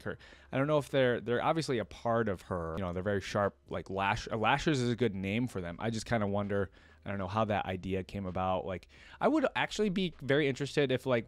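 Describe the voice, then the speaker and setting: A man's voice: mid-range voice, no room sound. A man in his twenties giving casual reaction commentary and reading story text aloud, close on a desk mic indoors. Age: 20s. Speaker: reaction video host. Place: indoor room at a desk with gaming chair.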